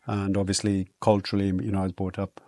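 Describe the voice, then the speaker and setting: Podcast guest: low-pitched South African man, Afrikaans first language, unhurried and reflective, lots of ums, dry close mic. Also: no room sound. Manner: relaxed, reflective, with frequent ums and restarts. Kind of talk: casual interview storytelling.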